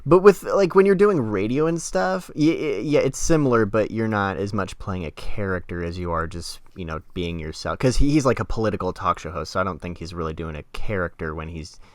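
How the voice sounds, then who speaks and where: A man's voice: low-pitched, animated, a little fast, few pauses, dry recording. A man hosting a podcast in casual, conversational review style. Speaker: podcast host.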